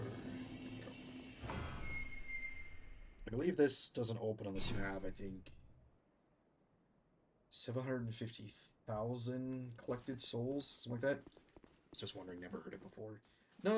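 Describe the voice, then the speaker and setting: A man's voice: mid-range voice, animated, unhurried, pauses often, background noise. A man hosting a gaming channel, giving casual, chatty gameplay commentary that rambles with fillers and restarts.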